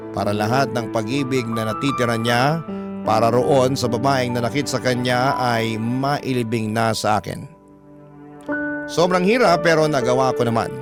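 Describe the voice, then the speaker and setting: A man narrating a love story as a Filipino radio storyteller, measured and sentimental. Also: mid-range voice. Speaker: radio storyteller reading a letter sender's story. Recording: prominent music bed behind voice.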